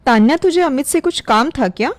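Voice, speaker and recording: A woman's voice: sits high, lively intonation, brisk, dry recording. A woman voicing a bossy, scornful middle-aged woman employer in a cartoon story, talking in a scolding, sarcastic way.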